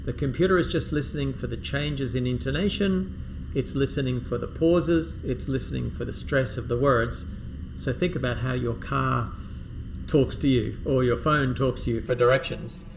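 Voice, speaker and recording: A man teaching a reading-aloud strategy for an English test, modeling sample sentences with exaggerated pitch rises and pauses. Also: gravelly, background noise.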